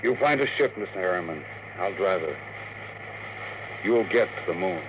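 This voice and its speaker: Low-voiced pilot, very noisy radio. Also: male voice, animated, normal speed. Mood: resolute. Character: plain-spoken male rocket pilot, down on luck. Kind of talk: 1950s radio drama acting. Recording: very noisy, tinny 1950s radio recording.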